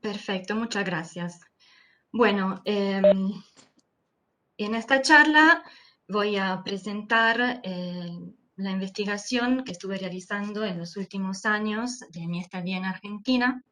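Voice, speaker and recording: A woman with an Italian accent, Italian her first language, giving an academic lecture over a video call in a careful, measured way with frequent hesitant fillers. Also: mid-range voice, sharp tone, slight background noise. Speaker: anthropology lecturer.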